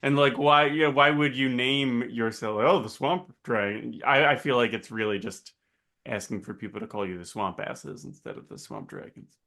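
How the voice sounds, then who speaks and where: A man's voice: medium pitch, normal speed, creaky, sharp tone. A man co-hosting a podcast in casual chat, recounting things with little acted-out quotes. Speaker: podcast co-host.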